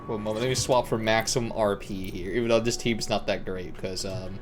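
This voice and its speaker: A man's voice: fairly low pitch, steady tone, fairly quick. A young man doing casual live gameplay commentary as a streamer, rambling to himself in slangy speech. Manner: slangy, self-talking, rambling. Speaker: game streamer. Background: game music.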